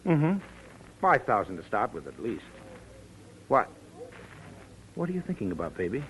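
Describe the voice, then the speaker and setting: A man playing a smooth-talking schemer who casually sweet-talks his girl, in 1940s radio drama acting. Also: on the low side, very animated, moderate pace, a bit bright, rough recording. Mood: casually baiting. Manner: casual, slangy, feigned reluctance. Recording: old radio recording with faint noise.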